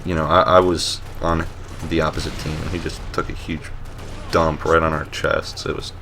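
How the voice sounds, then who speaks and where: Deep voiced guy hosting a chill gameplay voiceover, rambly with lots of uhs, dry self-mocking jokes, really low voice. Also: normal speed, slight background noise. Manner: relaxed and rambling, with many fillers and restarts; dry, joking self-mockery. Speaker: host of a map showcase series. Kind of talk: casual voice-over commentary on gameplay footage.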